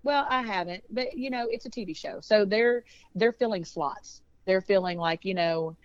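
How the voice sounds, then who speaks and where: A woman's voice: medium pitch, moderate pace, clear, resonant tone, dry recording, lo-fi sound. A woman, a singer chatting casually in an interview, rambling and laughing often. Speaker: singer and band leader.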